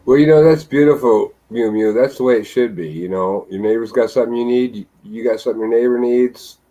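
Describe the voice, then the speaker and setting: A man's voice: mid-range voice, a little fast, mellow, poor audio. A man chatting on an online panel in a laid-back, reflective way. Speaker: panel guest. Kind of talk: casual online panel chat.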